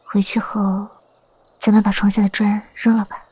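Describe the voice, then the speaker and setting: A woman's voice: mid-range voice, flat delivery, moderate pace, a little hiss or hum, natural voice. A woman acting a tender, plaintive young wife in a Chinese period romance audio drama. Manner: soft, plaintive, coaxing.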